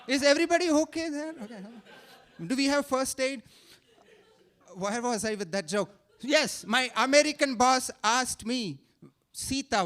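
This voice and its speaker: A man's voice: sits high, a bit bright, clear, resonant tone. A man with an Indian accent doing a self-mocking stand-up set on a theater stage, with playful asides to the crowd. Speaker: stand-up comedian.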